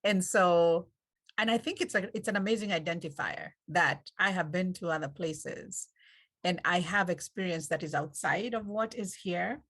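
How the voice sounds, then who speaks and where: A woman with a Kenyan accent hosting an online panel, speaking in an encouraging, unhurried way with fillers, over a video call. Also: lowish voice, fairly bright tone. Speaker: event host. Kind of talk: warm panel moderation.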